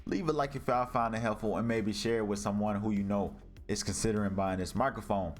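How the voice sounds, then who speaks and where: A man with a deep voice giving a scripted product review from home, casually addressing his viewers as y'all, recorded on a budget USB desk mic. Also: fairly even intonation, fairly quick, faint music behind. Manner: casual, calls the audience y'all. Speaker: tech reviewer. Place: home room with carpet and a PC. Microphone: budget USB condenser desk mic.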